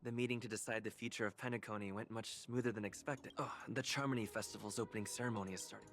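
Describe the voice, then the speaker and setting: An actor playing a smooth, self-satisfied male schemer in a video game, delivering scripted lines. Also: fairly low pitch, steady tone, quick pace, close to an everyday voice. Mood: smug musing. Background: game music.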